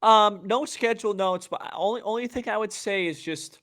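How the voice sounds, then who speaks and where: A man's voice: high voice, moderate pace, a bit bright, no echo, clean studio sound. A man co-hosting a sports podcast in casual talk, with choppy, conversational phrasing that often repeats words.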